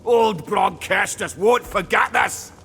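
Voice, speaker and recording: An actor playing a diseased, blustering plague lord villain in a fantasy game, theatrical and bellicose. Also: male voice, high-pitched, slow pace, creaky, big-room sound, over loud music, exaggerated voice. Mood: menacing bluster.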